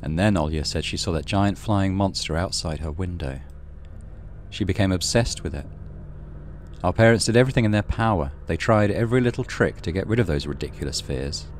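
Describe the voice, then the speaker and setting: A man reading a horror visual novel aloud as dramatic, measured narration. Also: low voice, slight background noise. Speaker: gaming channel host reading visual novel. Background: music.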